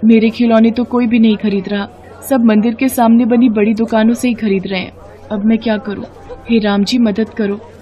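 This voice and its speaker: A woman playing a caring, devout poor village wife who sells toys, with a gentle, pleading manner in a moral-story cartoon. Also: fairly high pitch, level tone, normal speed, dull audio, a faint crowd, natural voice.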